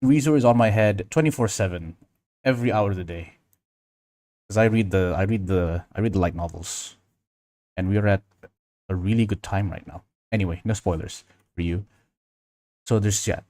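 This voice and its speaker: A man's voice: lowish voice, normal speed, long pauses, warm tone, no echo. A man from Indonesia hosting a casual livestream tutorial, thinking aloud as he works and chatting with viewers. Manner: thinking aloud, reading out and answering chat. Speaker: livestream host. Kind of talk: casual livestream tutorial chat while working.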